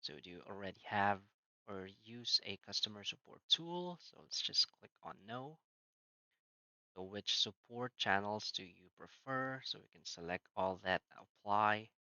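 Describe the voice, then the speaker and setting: A man talks through a software setup step by step, his lowish voice carrying a very bright, sharp edge. He leans on "so" and "uh" between plain instructions. The sound is dry and dull, like a modest lo-fi mic. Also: expressive, unhurried, clear, resonant tone, no room sound. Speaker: software tutorial narrator. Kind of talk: screen-recorded walkthrough narration.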